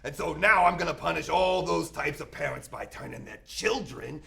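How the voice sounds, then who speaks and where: Man playing a creaky, whiny monster villain. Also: on the high side, moderate pace, bright, forceful tone, full character voice. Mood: smug, aggrieved. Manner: rambling, stammering, self-justifying. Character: whiny male Krampus, aggrieved theatrical villain. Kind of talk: comedic audio drama acting.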